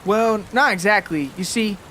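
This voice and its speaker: A timid young farm boy performed by a grown man for a storytelling podcast. The voice is lifted high and bright, earnest and pleading, with halting phrases and faint noise behind it. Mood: bashful.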